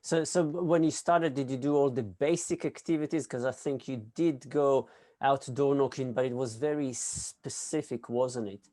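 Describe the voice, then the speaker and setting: A man hosting an interview, encouraging and asking halting questions with repeated words. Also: mid-range voice, fairly even intonation, warm tone. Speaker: host, sales trainer. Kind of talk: interview host.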